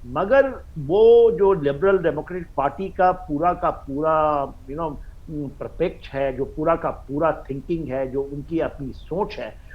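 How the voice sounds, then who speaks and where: An Indian man giving expert commentary on a news panel, halting and repeating himself, mixing in English phrases. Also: a little high, muffled recording. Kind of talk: live news panel analysis.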